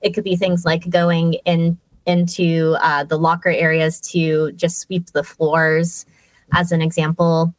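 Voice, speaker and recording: A woman's voice: fairly low pitch, flat delivery, a little slow, no room sound. A woman who speaks English as her first language, a guest chatting in an interview and describing her family's old business in rich detail, with fillers and small laughs. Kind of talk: casual storytelling in an interview.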